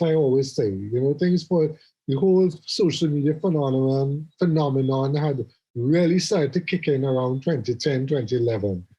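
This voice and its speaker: A man's voice: on the high side, mellow, husky. A man with a Trinidadian accent, co-hosting a podcast in rambling casual chat. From Trinidad and Tobago. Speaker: podcast co-host. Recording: muffled lo-fi podcast audio.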